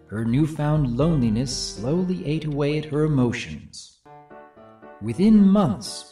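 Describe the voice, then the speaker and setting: A male storyteller with a mellow voice and widely swinging intonation. He delivers scripted narration and commentary theatrically, with soft music underneath. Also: on the high side. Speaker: narrator and host. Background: background music.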